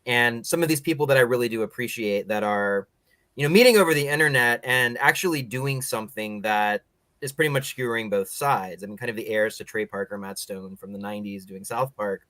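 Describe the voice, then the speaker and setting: A man's voice: mid-range voice, fairly quick, fairly bright tone, no room sound. A man hosting a podcast interview in a casual, rambling, opinionated way, peppering his talk with "like". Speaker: podcast host interviewing guests.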